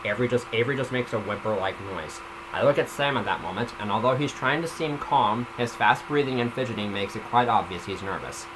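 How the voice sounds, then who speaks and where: A man's voice: mid-range voice, brisk. A man giving a casual, amateur story reading, stumbling at times and slipping in informal comments of his own. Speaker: reader of a self-written story. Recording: noisy home microphone recording.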